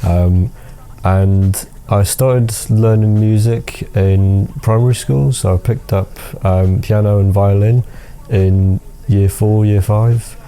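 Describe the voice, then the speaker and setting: Deep, dark-voiced Scouse young man. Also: fairly even intonation, slow pace. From Liverpool. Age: young adult. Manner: thoughtful, hesitant with many ums. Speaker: music student and percussionist. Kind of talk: interview answers.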